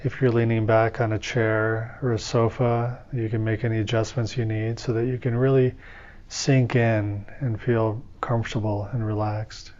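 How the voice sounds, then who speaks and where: A man guiding a slow, soothing meditation session, giving gentle step-by-step instructions. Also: lowish voice, level tone, highs cut off. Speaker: meditation teacher. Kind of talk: guided meditation.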